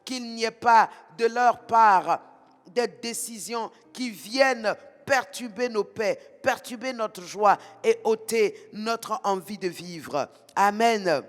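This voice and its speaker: A man's voice: sits high, slow pace. A man with an Ivorian French accent leading fervent prayer in a rhythmic, chanting way, repeating phrases with intensity. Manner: intense, rhythmic repetition, bursts into tongues. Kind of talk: fervent morning prayer, sing-song chanting. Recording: reverberant hall with soft music behind.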